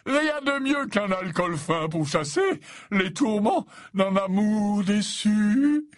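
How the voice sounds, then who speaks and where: A man voicing a jovial, fussy older potions professor in an audiobook, flattering, stammering and repeating himself. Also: high voice, slow pace, dry recording, studio quality, pitched above the performer's own voice. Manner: stammering, repeats himself, tacks on questioning tags.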